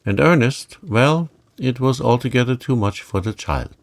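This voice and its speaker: A man's voice: medium pitch, lively intonation, normal speed, pitched above the performer's own voice, natural voice. A man voicing a genteel, dramatic middle-aged aunt in a storybook, recounting things with emphasis. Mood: dramatic, pitying. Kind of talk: storybook character reading.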